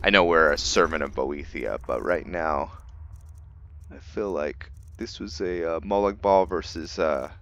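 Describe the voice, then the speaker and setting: A man's voice: rumbling low, unhurried, a bit bright. A man giving casual, chatty gameplay commentary as a video host, thinking aloud with enthusiasm.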